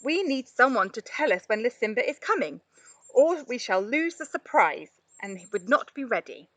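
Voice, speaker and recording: A serious, well-meaning animal fellow voiced by a woman makes his point emphatically in a children's tale. The voice is bright and animated, recorded very dry. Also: fairly high pitch, normal speed, no room sound, distant mic. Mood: irritated, insistent. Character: kindly but easily irritated male animal, problem-foreseer.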